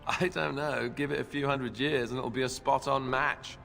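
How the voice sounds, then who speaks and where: An easygoing, teasing fighter from a fantasy game. His gravelly voice moves playfully through dry sarcasm, ringing in a long reverb over music. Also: medium pitch, fairly even intonation, moderate pace, a bit bright. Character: wry, teasing male warrior companion, easygoing. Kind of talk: fantasy video game banter.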